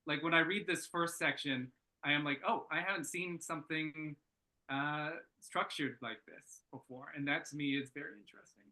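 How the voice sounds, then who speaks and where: A man's voice: fairly high pitch, steady tone, moderate pace, pushed and bright, low-quality recording. A man giving casual, unscripted feedback as a story editor, thinking aloud in a tentative way.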